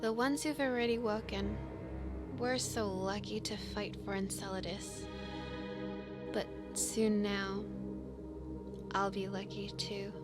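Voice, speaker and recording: A woman's voice: mid-range voice, steady tone, slow pace, long pauses, loud music behind the voice, close to an everyday voice. A woman voicing an earnest, dreamy young angel in a visual novel, with a subdued delivery. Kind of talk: scripted visual novel dialogue.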